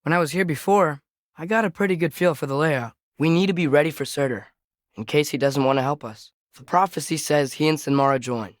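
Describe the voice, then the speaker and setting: A man's voice: fairly high pitch, fairly quick, dry recording, clean studio sound, natural voice. A young man playing an earnest, headstrong teenage boy adventurer in a video game, with a light youthful voice and a casual, hesitant way of talking. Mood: focused, hopeful. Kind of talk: video game character acting.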